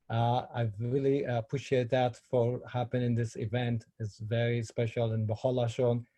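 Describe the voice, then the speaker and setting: A middle-aged man from Ethiopia speaking halting with many fillers, giving a personal talk on a video call. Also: mid-range voice, warm tone. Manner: halting non-native English with many fillers. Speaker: filmmaker and panel speaker.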